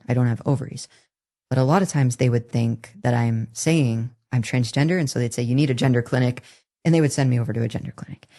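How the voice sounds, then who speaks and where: A woman in her twenties with a somewhat deep voice, telling her own story as an interview guest in an earnest, candid way, with halting restarts. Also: dark tone. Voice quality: somewhat deep, masculine-leaning voice. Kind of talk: personal testimony in a podcast interview.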